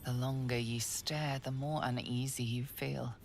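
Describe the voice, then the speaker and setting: A woman playing an ominous, measured storyteller, narrating a dark fantasy video game. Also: fairly even intonation, background noise, full character voice. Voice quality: low, creaky. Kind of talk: scripted second-person game narration.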